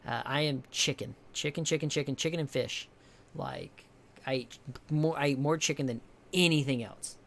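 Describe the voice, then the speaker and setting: A young man from the with a higher-pitched voice, chatting casually with his stream viewers, rambling, swearing a lot and restarting often. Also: big pitch swings, normal speed, vocal fry, a bit bright, slight background noise. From American South. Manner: rambling, heavy swearing, many restarts and fillers.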